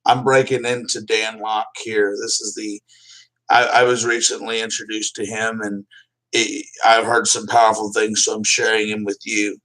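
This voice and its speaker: A man's voice: lowish voice, level tone, moderate pace, pushed and bright, recorded from a distance, rough recording. A man hosting a live stream, chatting casually and rambling loosely, swearing now and then. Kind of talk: casual livestream chat.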